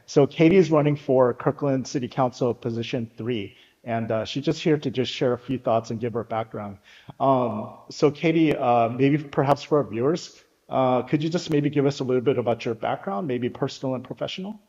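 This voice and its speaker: A man's voice: mid-range voice, moderate pace, darkish tone. A man hosting a community candidate interview, who asks his questions hesitantly with many uhs. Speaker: interview host. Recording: dull audio with long hall reverb.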